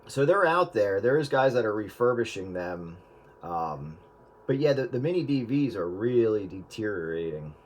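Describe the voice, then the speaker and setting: A man hosting a casual radio chat, explaining in a relaxed way with frequent fillers. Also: on the low side, moderate pace, a little hiss or hum. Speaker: radio show host. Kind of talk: casual chat about converting old media.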